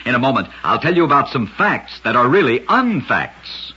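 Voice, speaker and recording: Husky, animated man narrating vintage radio oddities. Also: medium pitch, moderate pace, lo-fi sound.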